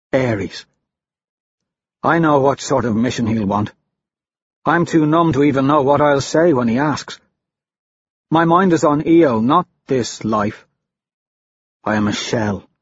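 A male narrator reads first-person fiction at a slow, deliberate pace with a grave, brooding manner. His mid-pitched voice is slightly husky, and the recording is a little dull. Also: no echo. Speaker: audiobook narrator.

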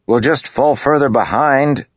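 A man's voice: lowish voice, normal speed, fairly bright tone, breathy edge, no room sound, voice pushed up. A man voicing a determined young male soldier who leads a bridge crew, speaking in an urgent, commanding way. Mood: insistent. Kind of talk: audiobook character reading. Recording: dull, lo-fi dry recording.

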